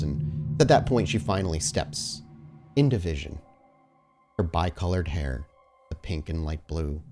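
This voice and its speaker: A man narrating a tabletop roleplaying game as game master, dramatic, with deliberate pauses. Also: sits low. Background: faint music.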